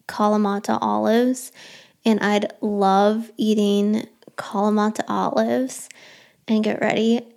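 Young woman, podcast host, unhurried gravelly chat, rambling and self-deprecating. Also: mid-range voice, a little mellow. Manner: chatty, rambling, self-deprecating with light laughs. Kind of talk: casual solo podcast Q&A.

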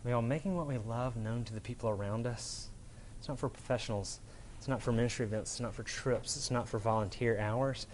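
A man's voice: mid-range voice, a little fast, darkish tone, slight background noise. A man preaching as a pastor in an informal, conversational sermon style, talking colloquially and often checking in with his listeners. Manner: casual, colloquial, checks in with "right?".

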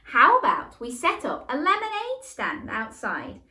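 A woman's voice: high voice, huge pitch swings, a little slow, pushed and bright, pitched above the performer's own voice, heavily acted. A woman voicing a cheerful mother who settles her sons' quarrel in a coaxing, motherly way, in an echoey room.

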